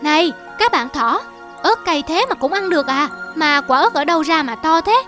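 A lonely little donkey from a cartoon fable, speaks in an extremely high voice. It sounds earnest and plaintive, longing to be liked. Music plays clearly underneath. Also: female voice, expressive, moderate pace, sharp tone. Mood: naive curiosity.

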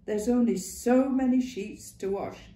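A woman's voice: a little high, measured pace, dark tone, pitched above the performer's own voice, close to an everyday voice. Woman putting on a blunt old matron. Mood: knowing, blunt. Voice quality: earthy dialect, hearty and knowing. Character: shrewd old working-class woman, blunt and worldly. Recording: roomy echo.

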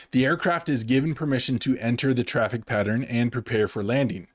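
A man's voice: mid-range voice, no echo. Male American instructor, 40s, methodical explainer to camera, close dry studio sound. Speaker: flight instructor and presenter. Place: small dim home office studio. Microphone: desk microphone close in front.

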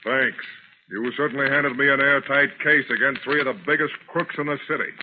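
An actor playing a brusque, commanding police commissioner in 1930s radio drama. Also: male voice, medium pitch, steady tone, brisk, natural voice. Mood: grateful, sober. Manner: barking, clipped orders. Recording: muffled, noisy 1930s radio recording.

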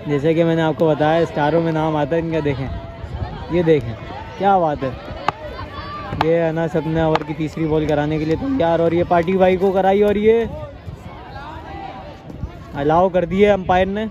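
Excited young cricket commentator. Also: male voice, a little high, moderate pace, long pauses, highs cut off. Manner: excited, rising sharply on big shots. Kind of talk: live amateur cricket commentary. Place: outdoor sandy ground with a crowd. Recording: crowd noise around an outdoor mic.

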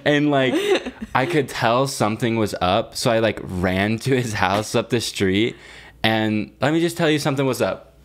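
A man's voice: lowish voice, lively intonation, moderate pace. A young adult man co-hosting a podcast in casual chat, telling stories with slang, swearing and a teasing manner. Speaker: podcast co-host.

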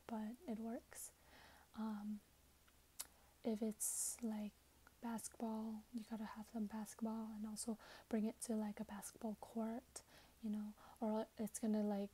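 Breathy, soft-spoken young woman, ASMR. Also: fairly high pitch, poor audio. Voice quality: soft, breathy, often whispered. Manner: casual, conversational, hesitant pacing as if chatting with a customer. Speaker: ASMR roleplayer, shop assistant creating robot companions. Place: small plain room indoors.

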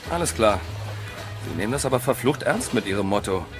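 Young male guest, flippant and mocking, animated intonation, music underneath, audio drama. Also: lowish voice, a little fast. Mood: sarcastic, mocking. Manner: sarcastic, flippant. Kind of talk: German audio drama acting.

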